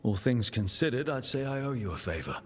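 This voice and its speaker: A man's voice: medium pitch, expressive, fairly quick, husky. A man playing a supportive team leader in a fantasy game, earnest with a lightly wry edge. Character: steady, supportive male hero leading a team, wry. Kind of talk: cinematic fantasy game dialogue.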